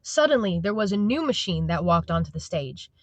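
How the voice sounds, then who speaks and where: A woman's voice: fairly low pitch, very animated, a little fast, dry recording. A woman narrating a scripted audio drama as its storyteller.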